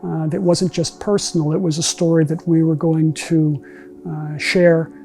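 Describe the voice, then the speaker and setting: A middle-aged man speaks in a measured interview style. His voice sits fairly high for a man, with a soft mellow tone and noticeable creak. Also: flat delivery, faint music behind. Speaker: bereaved brother interviewed. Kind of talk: measured personal recollection in a documentary interview. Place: large meeting room with empty chairs.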